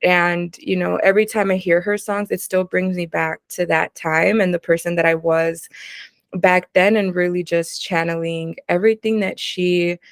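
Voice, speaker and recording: A woman hosting an interview, asking long, measured questions. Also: lowish voice, flat delivery, no echo. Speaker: host. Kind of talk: interview chat.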